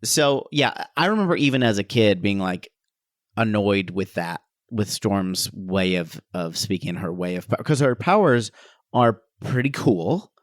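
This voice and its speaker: A man's voice: lowish voice, lively intonation, measured pace, no echo, clean studio sound. A man hosting a podcast, chatting casually about pop culture and joking with mock voices. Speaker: podcast host.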